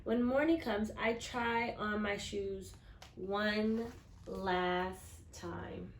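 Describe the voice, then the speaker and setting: A woman reading a children's picture book aloud expressively, acting out the dialogue and pausing to put questions to listeners. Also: mid-range voice, steady tone, roomy sound, slight background noise. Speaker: read-aloud storyteller.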